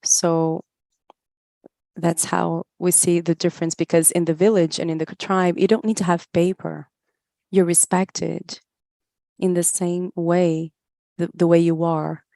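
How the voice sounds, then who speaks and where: A woman giving a live spoken interpretation of a talk, in halting phrases with pauses mid-thought. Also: fairly low pitch, little pitch movement, gravelly, mellow, dry recording, clean studio sound. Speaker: interpreter voicing another speaker's words.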